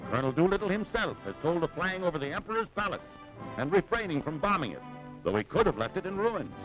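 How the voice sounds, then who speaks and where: A man's voice: sits high, very animated, fast, highs cut off. An American man reading a scripted 1940s newsreel narration in a dramatic, urgent, emphatic manner. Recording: vintage 1940s newsreel soundtrack with music. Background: loud dramatic music.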